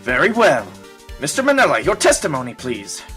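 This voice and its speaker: A man's voice: a little high, huge pitch swings, a little fast, fairly bright tone, faint music behind. A man playing a stern, pompous elderly judge in an amateur courtroom game fan dub, speaking in a formal, declamatory way. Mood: measured. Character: stern pompous elderly male judge presiding over a trial.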